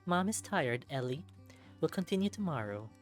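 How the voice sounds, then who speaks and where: Man putting on a gentle, tired mother fox, pitched up. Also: big pitch swings, normal speed. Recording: music under the voice.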